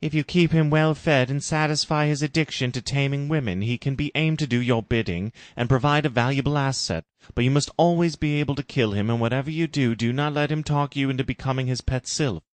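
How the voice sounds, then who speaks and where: A man's voice: mid-range voice, brisk, few pauses, no room sound, pitched above the performer's own voice, lightly acted. A man voicing a grave male adviser who gives dire warnings, earnest and emphatic, in a parody story. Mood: urgent warning.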